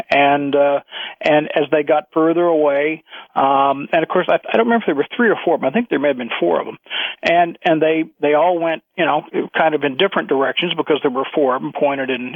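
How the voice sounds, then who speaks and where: An American man in his sixties calling a radio show, telling a recollection in an earnest, halting way. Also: on the high side, no echo. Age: 60s. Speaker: caller, retired aerospace engineer. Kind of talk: recounting a memory on a phone-in. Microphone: telephone. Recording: tinny narrow phone line.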